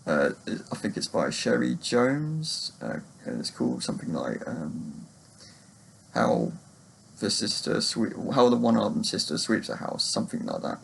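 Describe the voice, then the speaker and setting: A man with a gravelly, husky voice, chatting casually about books in a rambling, hesitant, self-deprecating way. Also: on the low side, big pitch swings, unhurried. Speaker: book vlogger.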